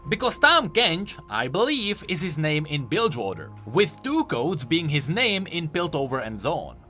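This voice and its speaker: A man's voice: sits high, pushed and bright. A man giving enthusiastic, explanatory commentary on video game lore, leaning hard on key words for emphasis.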